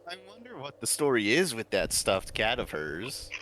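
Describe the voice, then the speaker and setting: A man's voice: medium pitch, huge pitch swings, normal speed, fairly bright tone, slight background noise. A man reading character lines aloud in a casual group story reading.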